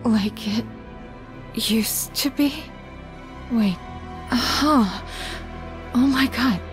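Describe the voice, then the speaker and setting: A refined, brooding young anime woman played by a voice actress, somewhat high in pitch. She speaks slowly and formally, with a weary weight, over music. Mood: weary anguish. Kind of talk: animated visual novel acting. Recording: music behind the voice.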